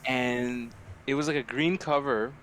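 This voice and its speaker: A man chats as a podcast co-host in a medium-pitched voice. He talks informally in loose anecdotes with some swearing, over faint background noise. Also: steady tone, a little slow, a bit bright. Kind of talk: casual podcast chat.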